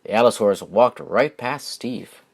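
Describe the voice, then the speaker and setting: A man reading a children's story aloud as its author, with simple, deliberate phrasing and expressive stresses. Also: lowish voice, slightly rough.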